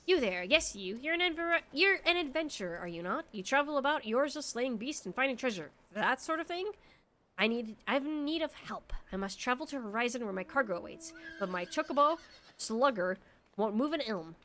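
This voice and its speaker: A woman's voice: high voice, animated, brisk, pushed and bright. A woman reading aloud the lines of a nervous, bug-fearing chocobo owner in a fantasy game, in a plain reading voice. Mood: pleading.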